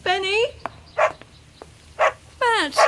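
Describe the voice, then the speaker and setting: An actress playing a self-centred, wheedling and sarcastic wife in an audio drama. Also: female voice, very high-pitched, very slow, very noisy, full character voice. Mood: overjoyed. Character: self-centred, pushy, sarcastic wife longing for her dog.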